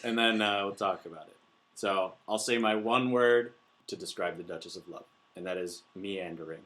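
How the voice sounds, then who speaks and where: A young man co-hosting a casual book podcast, playful and quick to laugh at his own jokes. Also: sits low, fairly bright tone. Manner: playful, laughs often, quick joking asides. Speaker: podcast co-host. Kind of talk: casual chat.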